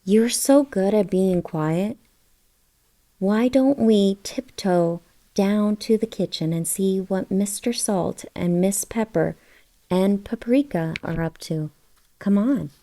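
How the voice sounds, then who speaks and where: A woman's voice: medium pitch, slow, warm tone. A woman reading a children's storybook aloud, putting questions to young listeners. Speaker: children's book read-aloud narrator. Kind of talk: scripted storybook read-aloud.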